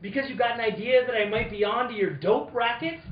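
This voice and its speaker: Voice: mid-range voice, fairly quick, lightly acted. A performer playing a tough, wisecracking male private investigator in an old-time detective radio drama, punchy and accusing. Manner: accusing, punchy, first-person narration and interrogation. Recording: echoey room, dull noisy sound.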